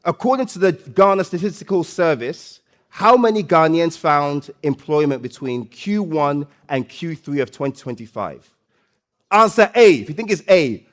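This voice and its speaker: A man hosting a live stage event, loud and playful, coaxing the audience and often repeating phrases. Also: fairly high pitch, expressive, normal speed. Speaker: event host and MC.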